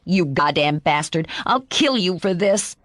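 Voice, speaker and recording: A woman's voice: lowish voice, lively intonation, moderate pace, no echo, studio quality, close to an everyday voice. A woman voicing a sly, resentful, hot-tempered teenage boy as an audiobook character. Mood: furious.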